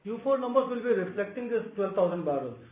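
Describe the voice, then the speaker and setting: A man's voice: sits high, sing-song, quick pace. A man, a company executive answering questions on an earnings call. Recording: muffled, echoey conference call.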